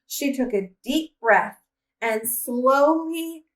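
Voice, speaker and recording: A woman reading a children's story aloud as narration, with expressive, deliberate phrasing. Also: sits high. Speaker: storybook reader. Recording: roomy echo, distant microphone.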